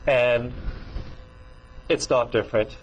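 Middle-aged male practitioner on a lapel mic, calm and steady, short guided phrases with a touch of humor. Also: medium pitch, slow pace, slight background noise, low-quality recording. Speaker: tapping practitioner. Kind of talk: guided tapping demonstration. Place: small room with green walls, seated.

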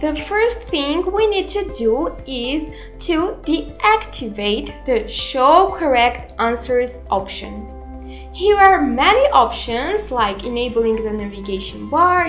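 A woman in her twenties giving a scripted, instructional software tutorial in a deliberate manner. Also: high-pitched. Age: 20s. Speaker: tutorial presenter. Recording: roomy echo, music underneath. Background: background music.

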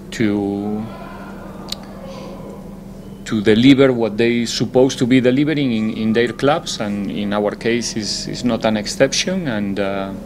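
A man, a football head coach, answering press conference questions in a measured, deliberate way, often pausing to find his words. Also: fairly low pitch, noisy background.

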